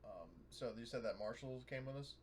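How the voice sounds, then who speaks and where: A man playing a tabletop roleplaying game, talking casually and hesitantly with frequent pauses. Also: mid-range voice. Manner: hesitant, pausing often. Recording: clearly noisy microphone.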